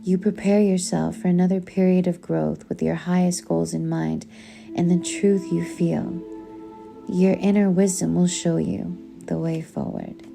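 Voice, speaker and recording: A woman reads aloud in a soothing audiobook style. Her voice is on the low side and very unhurried, with gentle, deliberate phrasing. Music plays clearly behind her. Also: level tone. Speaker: book reader. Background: background music.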